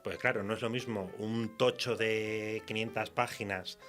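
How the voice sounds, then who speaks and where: A man with a Castilian Spanish accent chatting casually and colloquially, often halting to search for words. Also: on the low side, fairly even intonation, fairly bright tone, soft background music. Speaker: horror fiction writer. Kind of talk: casual conversation.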